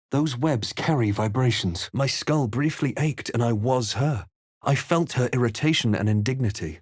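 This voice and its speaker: Grave fantasy adventurer, dry close recording. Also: male voice, medium pitch, a little mellow, breathy edge, dry recording. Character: brooding young male fantasy adventurer narrating his quest. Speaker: player character in fantasy role-playing game. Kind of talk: dramatic scripted game voice lines.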